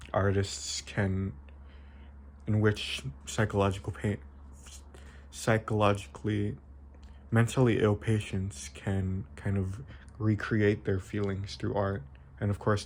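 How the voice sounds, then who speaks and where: Low-pitched, flat-toned young man. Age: young adult. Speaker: video essayist. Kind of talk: informal explanatory video essay.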